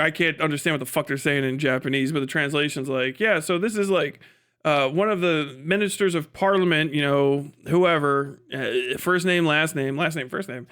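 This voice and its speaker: Higher-voiced American man, profane livestreamer. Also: fairly bright tone, clean tone. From United States. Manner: profane, irreverent, joking; breaks often into chuckles. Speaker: livestreamer and podcast host. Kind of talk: casual live commentary, off the cuff.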